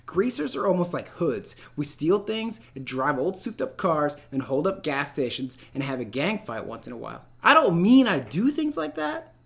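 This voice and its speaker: A man teaching literature in a read-aloud lesson, speaking in an expressive, informal and encouraging way. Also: on the high side, normal speed, creaky. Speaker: middle school literature teacher. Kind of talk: read-aloud lesson, narrating a novel and addressing students.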